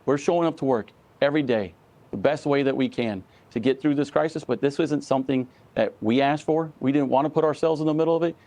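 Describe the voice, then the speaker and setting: A man speaking as a union spokesman in a news interview, earnest, measured and emphatic. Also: mid-range voice, dark tone. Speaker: union president, interview guest. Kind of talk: live news interview answers.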